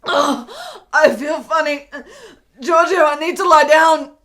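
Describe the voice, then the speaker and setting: A woman playing a frail, gravely ill, lovesick woman in an 1870s Italian radio play, tender and emotional. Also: high voice, slow pace, a bit bright. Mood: anguished, failing.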